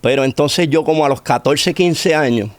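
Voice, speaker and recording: A man's voice: a little high, normal speed, dry recording. A middle-aged man with a Puerto Rican accent telling his personal testimony in conversation, repeating phrases for emphasis. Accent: Puerto Rican Spanish. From Puerto Rico. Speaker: interview guest giving testimony.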